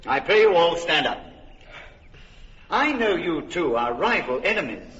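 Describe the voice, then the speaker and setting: A stately, decisive duke. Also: male voice, on the high side, very animated, measured pace, fairly bright tone, in a large hall. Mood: courtly. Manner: stately, authoritative. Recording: muffled old radio recording.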